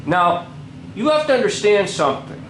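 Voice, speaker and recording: A man's voice: high voice, sing-song, normal speed, a bit bright, echoey room, a little hiss or hum, low-quality recording. A man commenting over a documentary in an indignant, lecturing way.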